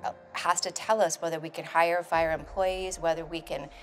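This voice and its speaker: A woman's voice: sits low, level tone, moderate pace, a little hiss or hum. A woman who owns a franchise business, speaking in a documentary interview in a hotel lobby.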